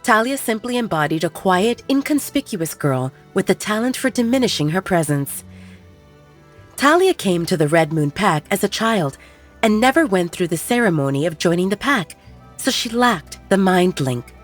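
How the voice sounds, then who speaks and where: A woman reading a fantasy story aloud in an even, measured way. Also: medium pitch, soft background music. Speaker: audiobook narrator. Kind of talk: scripted storybook narration.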